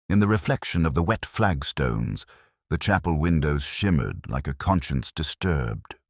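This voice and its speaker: A man playing a weary, pensive detective inspector who narrates his case in a measured, literary, brooding way. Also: a bass voice, big pitch swings, no echo, studio quality, heavily acted. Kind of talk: scripted first-person mystery narration.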